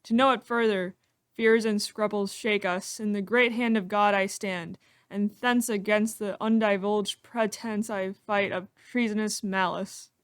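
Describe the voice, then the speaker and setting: A woman's voice: medium pitch, fairly even intonation, unhurried, smooth, dry recording, natural voice. A woman playing a solemn, steadfast and honourable general in an amateur Shakespeare reading. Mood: solemn, resolute. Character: steadfast, honourable general Banquo, grieving yet resolute.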